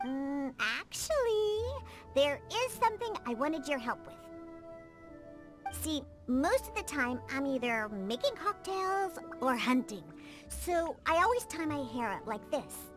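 Huffy young cat girl game character, high voice swooping around, proud and prickly, music behind. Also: big pitch swings, measured pace. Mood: shy, defensive. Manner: huffy, haughty, hesitant when asking favours. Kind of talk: English video game voice acting.

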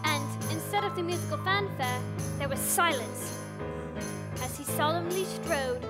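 A female actor playing a clever, imaginative little girl who tells a story in a deliberate, theatrical, suspenseful way. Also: high voice. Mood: solemn, suspenseful. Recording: loud music behind the voice.

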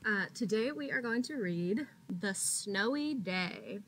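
A woman in her thirties, a teacher reading a picture book aloud to young children in a deliberate, expressive way with drawn-out words. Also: mid-range voice, a little hiss or hum. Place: small indoor classroom. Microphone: laptop or webcam at arm's length.

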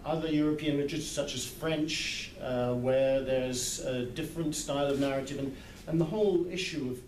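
A man's voice: mid-range voice, moderate pace, a little mellow. Older man, thoughtful hesitant speech, book club discussion, distant mic, roomy echo. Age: 60s. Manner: thoughtful, hesitant, searching for words. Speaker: literary translator. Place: large indoor hall, small group seated in circle. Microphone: camera a few metres away. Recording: echoey, distant camera mic, background noise.

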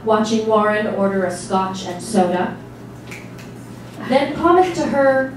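A woman's voice: a little high, animated, measured pace. Female narrator for live literary reading, wry, heard from a distance with background noise. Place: small indoor theatre stage before an audience. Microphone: far camera. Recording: distant, very echoey, noisy live stage recording.

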